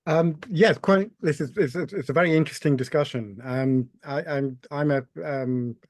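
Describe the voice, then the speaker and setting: A man's voice: a little high, moderate pace, a little mellow, no echo. A man on a video call joining a panel discussion, thoughtful and hesitant, often repeating words. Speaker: panel participant.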